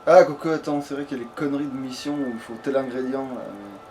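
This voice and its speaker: A man's voice: medium pitch, fast, a little mellow, faint music behind. A man doing casual let's play commentary, slangy and sweary, thinking aloud as he plays. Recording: noisy home gaming capture.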